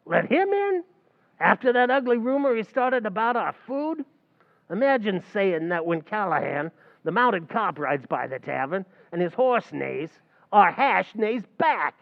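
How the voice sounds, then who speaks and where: Actor as a scheming New barman, high and bouncy, lo-fi radio comedy. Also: big pitch swings, unhurried, gravelly, exaggerated voice. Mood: wisecracking. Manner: wisecracking, mangles grammar. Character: fast-talking scheming male tavern manager. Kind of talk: 1940s radio acting.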